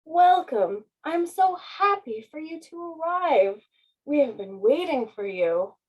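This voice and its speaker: A woman's voice: sits high, very animated, slow, exaggerated voice, poor audio. A woman voicing a small, welcoming and earnest villager in a children's storybook. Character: small, welcoming villager in a drought-stricken land.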